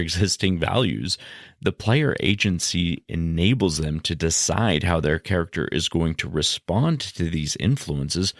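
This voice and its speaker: A man with a low, gravelly voice hosts a podcast. He explains in a measured, instructive way, as if working from notes. The sound is dry, with no room echo. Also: a bit bright. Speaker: podcast host. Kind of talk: explanatory podcast monologue, semi-scripted.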